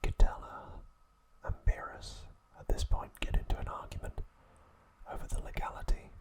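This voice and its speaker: A man narrating trivia as an ASMR host, hushed and deliberate, in short separated phrases.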